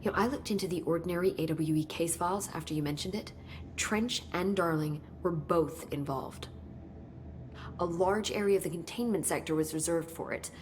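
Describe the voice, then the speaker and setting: An actress playing an analytical female researcher who explains findings in a measured way. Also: on the low side, a little mellow, a little hiss or hum, natural voice. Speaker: research scientist. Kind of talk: video game dialogue.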